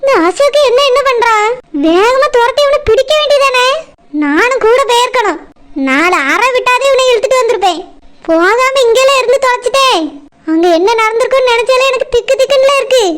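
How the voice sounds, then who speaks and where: A woman voicing a chatty village cartoon story, taking several roles in a lively way. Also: extremely high pitch, fairly quick. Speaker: cartoon narrator and voice actor.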